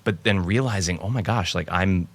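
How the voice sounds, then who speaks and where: Deep-voiced man hosting an interview. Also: normal speed, dry recording. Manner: frequent short affirmations, relaxed questions. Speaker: podcast host. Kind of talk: casual interview.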